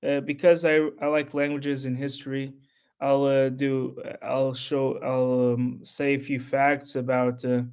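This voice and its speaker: A man whose first language is Portuguese, talking unscripted to his channel's viewers in a halting, rambling way full of restarts. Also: mid-range voice, monotone, darkish tone, smooth. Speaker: history YouTuber. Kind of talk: unscripted solo talk to camera.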